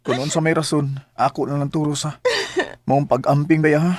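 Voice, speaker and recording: A man's voice: on the high side, little pitch movement, a little fast, darkish tone, dry recording, clean studio sound, lightly acted. An actor playing a smitten, playful young ladies' man in a Bisaya radio drama, with a Filipino accent. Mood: firm, regretful.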